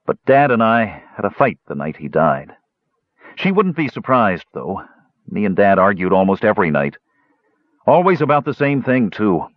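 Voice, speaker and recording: A man's voice: on the low side, very animated, normal speed, dry recording, dull audio. A man voicing a stubborn young dwarf nephew in a fantasy audiobook, sullen, blunt and defensive. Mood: regretful. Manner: belligerent, blunt, informal.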